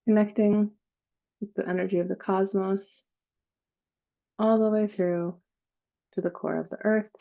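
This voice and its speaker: A woman leading a guided meditation in a slow, soothing manner, with long pauses between phrases. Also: medium pitch, steady tone, vocal fry, mellow, muffled recording.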